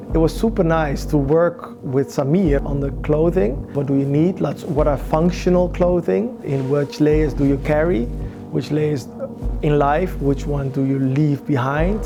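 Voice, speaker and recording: Gravelly-voiced man over music. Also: a little high. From Somalia. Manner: thoughtful, searching for words, non-native English phrasing. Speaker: choreographer. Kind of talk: reflective interview talk. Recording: music playing clearly behind.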